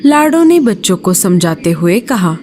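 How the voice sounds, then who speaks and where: A woman's voice: mid-range voice, sing-song, unhurried, a little mellow, slight background noise. A woman giving scripted narration for a children's story.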